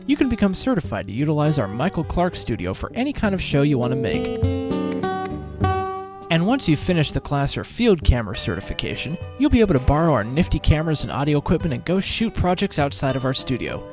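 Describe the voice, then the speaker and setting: Lively higher-pitched male promo announcer. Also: a little fast. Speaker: announcer for a community media station. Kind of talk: scripted promotional narration. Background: guitar music.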